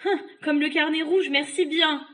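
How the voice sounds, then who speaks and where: A bold young lad, voiced by a woman storyteller, speaks up high and loud. He taunts and exclaims like a defiant storybook hero out for revenge. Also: steady tone, moderate pace. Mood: mocking. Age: young adult. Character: defiant young boy hero, avenging and mocking.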